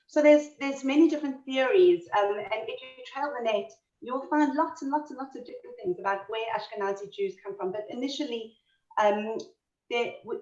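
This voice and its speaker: A woman's voice: on the high side, a little mellow, reverberant. A woman with a South African accent talks through a history lecture over a video call. She is chatty and keeps restarting, with plenty of fillers. Her voice comes through dull and slightly distant. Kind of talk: online history talk. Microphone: computer mic on a video call.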